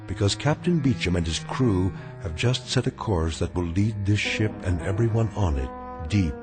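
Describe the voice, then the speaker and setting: A man narrating an eerie radio drama in a grave, measured, ominous manner. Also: low voice, animated, over music. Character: grave male narrator of an eerie anthology tale.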